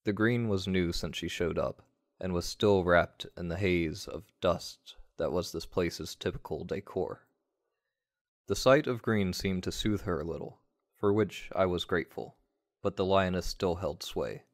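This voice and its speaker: A man narrating a first-person story in a measured, wry way, like a radio play. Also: low voice.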